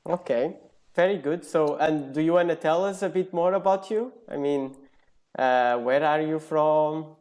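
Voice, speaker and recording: A man with a moderately high voice hosts an informal group conversation. He is a native speaker of Portuguese. He moderates in a hesitant, self-deprecating way, keeping it casual. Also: unhurried, darkish tone. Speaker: host of an online talk.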